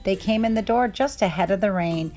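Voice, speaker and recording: Female storybook narrator, expressive read-aloud for young children, music underneath. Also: mid-range voice, fairly quick.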